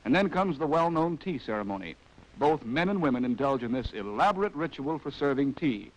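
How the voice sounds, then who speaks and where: Animated American man, vintage newsreel-style narrator. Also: medium pitch, a little fast, low-quality recording. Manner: wry, arch, theatrical phrasing. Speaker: narrator of a military training film. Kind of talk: scripted 1940s documentary narration. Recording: vintage 1940s film soundtrack.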